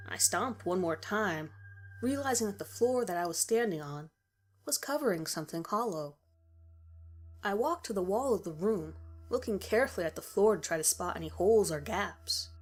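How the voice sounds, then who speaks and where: A woman's voice: medium pitch, expressive, normal speed. A woman reading a scripted first-person horror story as its narrator.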